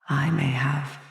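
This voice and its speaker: A man's voice: a little high, gravelly, fairly bright tone, reverberant, exaggerated voice. A man playing a haughty, haunted asylum doctor in a video game, theatrical and erudite, fretful and hesitant, obsessed with his art. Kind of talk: dramatic video game dialogue.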